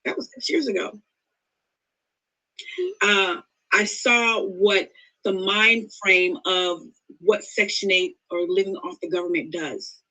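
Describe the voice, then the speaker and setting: Woman, 50s, podcast guest; bright, forceful, earnest and emphatic. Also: medium pitch, slow, low-quality recording. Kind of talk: interview conversation.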